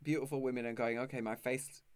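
A man's voice: mid-range voice, steady tone, fast talker, smooth, dry recording. A man in his thirties hosting a camp, chatty video-call conversation and laughing often. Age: 30s. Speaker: host. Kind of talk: casual chat over video call.

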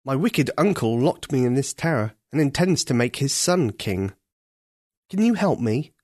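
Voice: medium pitch, animated, normal speed, darkish tone, no echo, studio quality, lightly acted. A storyteller voicing a young imprisoned prince who speaks formally and earnestly. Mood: despairing.

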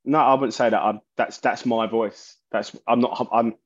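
Loud-voiced man, dull lo-fi sound. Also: mid-range voice, fairly even intonation. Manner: halting, searching for words, many restarts. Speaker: musician guest, bassist and singer. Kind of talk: casual interview talk.

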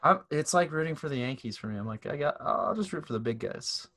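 A man co-hosting a podcast, chatting casually and joking, often slipping into quick made-up quotes. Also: on the high side, lively intonation, fairly bright tone. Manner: joking, acts out quick silly voices. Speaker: podcast co-host. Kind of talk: casual chat.

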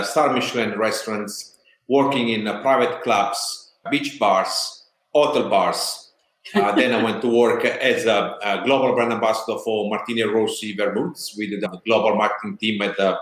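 A man's voice: lowish voice, fairly bright tone. A man in his forties from Italy with a strong Italian accent, speaking as a guest in a casual interview, listing things eagerly in short bursts. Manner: enthusiastic, lists things in quick fragments. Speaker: guest, spirits brand founder. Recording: echoey room.

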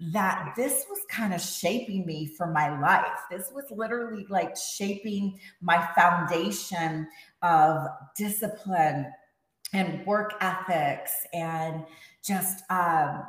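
Podcast guest: upbeat woman who grew up in Texas, lower voice, laughs a lot, roomy echo. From USA, Texas. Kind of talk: casual podcast interview.

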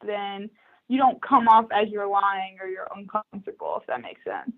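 A woman's voice: medium pitch, very animated, normal speed. Chatty young woman on a tinny line. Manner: chatty, upbeat, laughs often. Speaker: recruiter, podcast guest. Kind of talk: casual interview chat.

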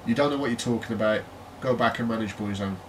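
A man's voice: lowish voice, fast. British man, chatty podcast co-host. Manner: chatty, jokey, trails into laughter. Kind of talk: casual chat. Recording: distant mic with faint noise.

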